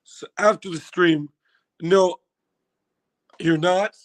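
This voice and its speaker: A hoarse man hosting a casual livestream reaction, excitable and laughing, swearing now and then, recorded on a phone. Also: sits high, takes it slowly. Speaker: livestream host.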